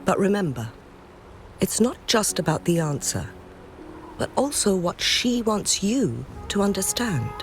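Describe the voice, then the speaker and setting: Composed game official, animated intonation, polite, earnest, formal, music clearly behind. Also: female voice, mid-range voice, measured pace. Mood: thoughtful, polite. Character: courteous, composed town official, earnest and thoughtful. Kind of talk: video game dialogue.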